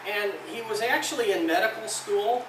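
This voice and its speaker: A man's voice: sits high, normal speed, noisy background. A man, an amp designer, telling a rambling story in casual talk, often laughing and acting out what others said. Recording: roomy and distant with faint ambience.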